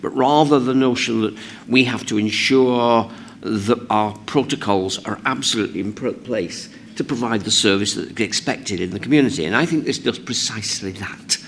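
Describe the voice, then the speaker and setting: A man speaking hoarsely with the flu, giving measured, emphatic remarks as a board member in a formal meeting. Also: fairly low pitch, a little hiss or hum. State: hoarse from the flu, voice lower than usual.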